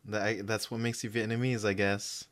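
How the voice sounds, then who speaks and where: A man's voice: fairly low pitch, little pitch movement, normal speed, fairly bright tone. A man with a Filipino accent chatting casually on a livestream, easygoing, often repeating words as he starts a thought. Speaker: stream co-host. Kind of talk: casual chat with friends on a livestream.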